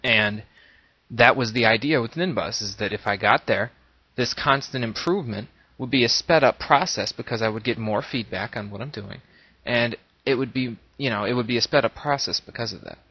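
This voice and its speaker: A man's voice: medium pitch, normal speed, pushed and bright, breathy edge. A man with a slightly nasal voice, as if coming down with a cold, talking earnestly and reflectively in a casual, unscripted monologue as an online gaming video creator. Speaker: YouTube Let's Play creator. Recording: boxy echoing room, dull lo-fi microphone.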